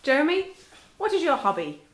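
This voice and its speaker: A woman interviewing a quiz team, asking each member brisk, polite questions. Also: high-pitched, sing-song, a little hiss or hum. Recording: echoey room.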